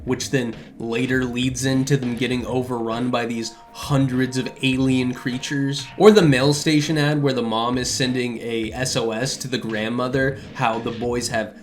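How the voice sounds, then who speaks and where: A man's voice: medium pitch, level tone, normal speed, hardly any pauses, faint music behind. A man delivers casual video essay commentary in an enthusiastic, conversational way. His phrasing is loose and informal, peppered with filler words, like a reviewer sharing what he enjoys.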